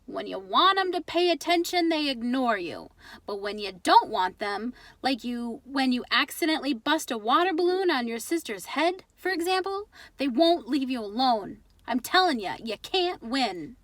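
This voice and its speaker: Woman doing a cheeky high-pitched boy sidekick. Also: lively intonation, normal speed, a bit bright, no echo. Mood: wry. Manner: emphatic, questioning, teasing. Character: cheeky, talkative boy, the hero's nosy best friend. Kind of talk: children's storybook read-aloud.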